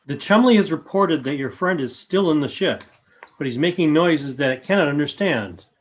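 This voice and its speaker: A man playing a grave, helpful alien in a radio play, with a husky voice and formal, measured speech. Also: on the high side, animated.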